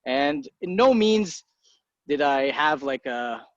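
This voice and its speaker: A man in his thirties from Egypt talks in a blunt, easygoing way and swears casually as he answers. His voice sits a little high for a man. The recording is dry and a bit muffled. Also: expressive, dry recording. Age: 30s. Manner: blunt, swears casually, quick impulsive asides. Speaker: guest, entrepreneur. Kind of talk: casual interview talk. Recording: dry muffled podcast audio.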